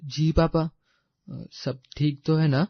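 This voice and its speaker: A man's voice: a little high, little pitch movement, slow, no echo, dull audio. Earnest young male student, acted by a man. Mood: earnest, respectful. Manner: polite, earnest. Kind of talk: acted Hindi audio story dialogue.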